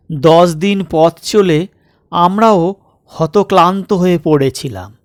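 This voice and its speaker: A man narrating a suspense story as an audiobook, with measured, deliberate, dramatic phrasing. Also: sits high, dry recording, studio quality. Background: night insect sound effects.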